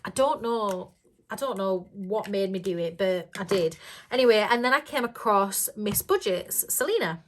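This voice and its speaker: Woman rambling on camera, bit croaky, lots of ums and giggles. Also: mid-range voice, lively intonation, moderate pace, a little hiss or hum. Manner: rambling and chatty, with many ums and frequent giggles. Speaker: budgeting vlogger. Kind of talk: casual chat to camera.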